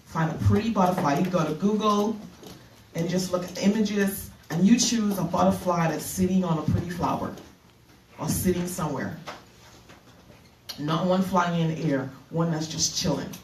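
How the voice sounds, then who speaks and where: A middle-aged woman from the Bahamas, giving an earnest retreat keynote as a minister, halting with fillers and restarts. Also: fairly low pitch, slight background noise. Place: conference room. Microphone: handheld mic. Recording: echoey room from a distance.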